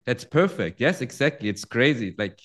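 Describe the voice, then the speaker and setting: A man's voice: mid-range voice, animated, moderate pace, fairly bright tone. A man in his forties from Germany, speaking English with a German accent as a non-native speaker, chatting in a friendly way as a guest in an interview. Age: 40s. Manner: friendly, laughs easily, restarts phrases in non-native English. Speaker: guest trader. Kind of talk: casual interview chat.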